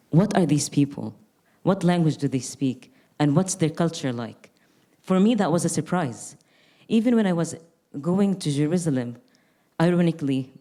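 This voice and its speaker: Young woman from gaza talking on a panel, low mellow voice, thoughtful, restarts a lot. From Gaza Strip. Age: young adult. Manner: reflective, earnest, with frequent restarts. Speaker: artist. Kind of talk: panel conversation.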